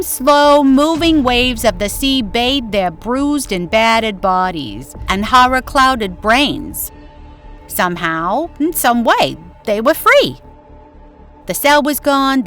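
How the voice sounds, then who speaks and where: A woman's voice: high voice, fairly bright tone. A woman giving dramatic scripted horror narration in an old-time radio style, theatrically savoring the descriptive words.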